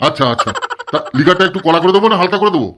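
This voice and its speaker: A man's voice: high voice, racing, fairly bright tone. A man voicing a chatty, fussy, placating village tea seller in a comedy cartoon. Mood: cheerful, placating. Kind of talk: exaggerated comedy cartoon dialogue.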